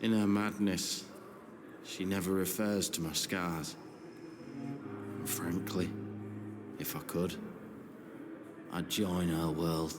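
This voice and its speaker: Slow, low-voiced, bitter wounded soldier. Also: male voice, background noise. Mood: wry, wistful. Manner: deadpan, sardonic, dark humour. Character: bitter, weary, scarred war veteran in hospital. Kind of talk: video game dialogue acting.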